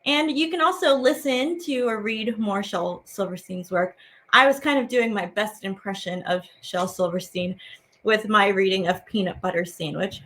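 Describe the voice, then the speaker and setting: A woman's voice: medium pitch, fairly bright tone. A woman hosting a children's activity lesson as a teaching artist, speaking casually to viewers in a playful, encouraging way with simple phrasing.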